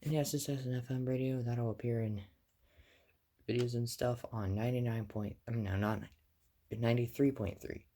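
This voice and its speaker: A man's voice: mid-range voice, steady tone, moderate pace, warm tone. A man giving a casual, rambling show-and-tell as a hobbyist vlogger, offhand and full of detail.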